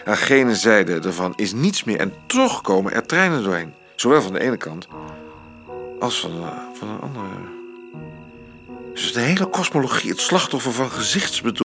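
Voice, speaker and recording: An actor playing a drunk, brooding astronomer who thinks aloud in a halting, rambling radio drama monologue. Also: male voice, fairly low pitch, sing-song, music in the background. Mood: excited revelation. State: tipsy.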